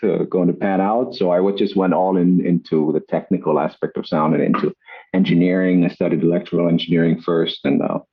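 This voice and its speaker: A man from Iceland, presenting his work on a podcast in a relaxed, self-deprecating way with many fillers. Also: sits low, fairly quick, slightly rough, highs cut off. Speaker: guest, acoustics company head.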